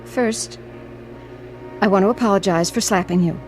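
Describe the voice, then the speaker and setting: A woman's voice: mid-range voice, normal speed, darkish tone, faint music behind, lightly acted. An actress playing a firm, outspoken woman devoted to her sweetheart in a radio drama. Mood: earnest pleading.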